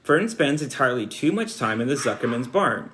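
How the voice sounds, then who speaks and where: A man's voice: medium pitch, very animated, brisk, fairly bright tone. A man reading aloud as a worried, stern farm mother in a storybook, scolding and anxious in manner.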